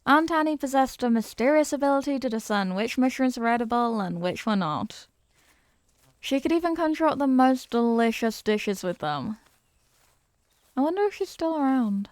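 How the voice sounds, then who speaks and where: Woman acting a sweet, wistful childhood friend, higher-pitched, close-up. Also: expressive, moderate pace, no room sound. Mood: fondly nostalgic, then wistful, musing. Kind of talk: scripted ASMR roleplay spoken to the listener.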